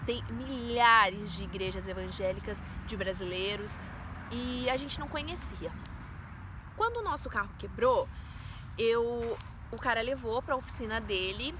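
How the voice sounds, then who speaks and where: A woman's voice: a little high, animated, a little slow, noisy background. A woman in her twenties with a Brazilian Portuguese accent, earnestly telling viewers a personal faith testimony. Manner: earnest, storytelling, addresses viewers as "gente". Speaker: vlogger.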